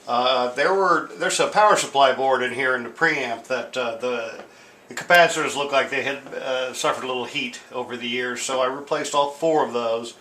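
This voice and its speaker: A man's voice: mid-range voice, normal speed, sharp tone. A man giving a casual, unscripted demonstration in an informal, hesitant, explanatory way, as someone who restores machines. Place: indoor room at home. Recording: roomy, echoing sound with hiss.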